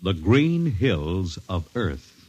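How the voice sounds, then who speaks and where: An American man narrates in grand 1950s radio style. His lowish voice moves with sweeping, lively inflection, formal and dramatic, under loud music. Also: very slow, lo-fi sound. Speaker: radio announcer.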